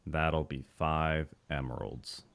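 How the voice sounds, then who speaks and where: Very deep, gloomy cartoon shopkeeper. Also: male voice, fairly even intonation, takes it slowly, creaky, fairly bright tone, clear, resonant tone, heavily acted. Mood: depressed, dutiful. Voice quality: droning, Squidward-like tone. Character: gloomy, weary male shopkeeper forcing out some pep. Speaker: voice actor recording takes. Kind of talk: animated cartoon voice acting.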